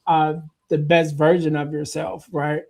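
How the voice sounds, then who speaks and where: A man hosting a podcast, asking questions in a relaxed, friendly way with lots of fillers. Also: on the high side, measured pace, creaky. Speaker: podcast host. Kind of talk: casual interview hosting.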